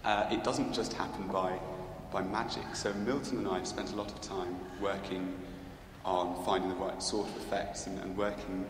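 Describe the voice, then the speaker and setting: A man's voice: sits low, little pitch movement, normal speed. A man from Scotland, a cellist, answering audience questions in a church, thoughtful and hesitant with many fillers. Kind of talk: unscripted Q&A answers. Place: large church. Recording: echoey church with background noise.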